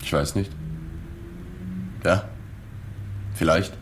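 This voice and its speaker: A man's voice: low-pitched, steady tone, drawn-out words, a bit bright, slight background noise, exaggerated voice. An actor playing a melancholy journalist in a literary radio drama, telling his story in a sober, restrained way. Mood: hesitant. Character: subdued, melancholy male journalist narrating his own story. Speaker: narrator.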